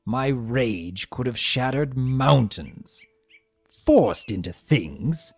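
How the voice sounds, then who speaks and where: A man voicing a vain, spiteful, sarcastic trickster creature trapped as a statue, telling its story with theatrical, sneering, drawn-out emphasis. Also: medium pitch, animated, dry recording, full character voice. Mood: seething, gleefully spiteful. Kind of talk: dramatic first-person story reading. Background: music.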